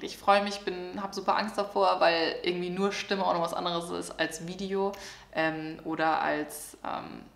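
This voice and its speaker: A woman in her twenties from northern Germany, chatting excitedly with many fillers in a casual vlog. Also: fairly low pitch, creaky, echoey room. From Germany, Lower Saxony near Hamburg. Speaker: vlogger. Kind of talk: casual life update vlog. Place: apartment room.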